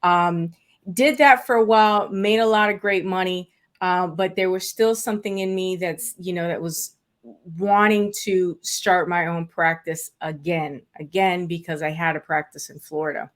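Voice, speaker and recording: A woman's voice: fairly low pitch, steady tone, normal speed. A middle-aged woman, a native Spanish speaker, answering interview questions as an attorney in an earnest, reflective way with many fillers. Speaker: estate planning attorney, guest.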